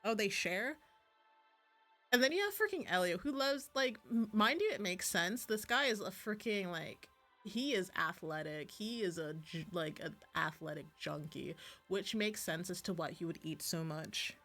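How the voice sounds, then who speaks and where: A woman's voice: lowish voice, animated, normal speed, sharp tone. A woman livestreamer chatting casually while playing visual novels, rambling and gushing and often interrupting herself. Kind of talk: casual chatty stream commentary. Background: background music.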